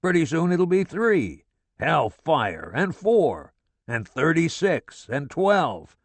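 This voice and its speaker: A man voicing a furious, foul-mouthed middle-aged father who rants and shouts curses at his family. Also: on the high side, sing-song, slow pace, no echo, pitched above the performer's own voice, exaggerated voice. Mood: exasperated ranting.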